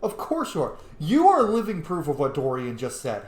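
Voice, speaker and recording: Male game streamer, casual and chatty, very lively pitch, echoey room with faint noise. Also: moderate pace. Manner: chatty, reacts aloud to the game. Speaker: gaming streamer.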